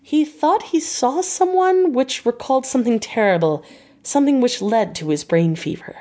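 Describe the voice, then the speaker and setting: A woman's voice: fairly high pitch, lively intonation, moderate pace, mellow, voice pushed up. A woman voicing a polite, modest young married woman in an audiobook, with a demure, courteous and earnest manner. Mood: troubled.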